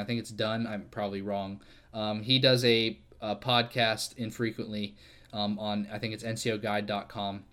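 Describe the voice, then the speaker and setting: A man hosting a casual solo podcast, rambling and self-deprecating, with plenty of fillers and swearing. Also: lowish voice. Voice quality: fairly monotone delivery. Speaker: podcast host.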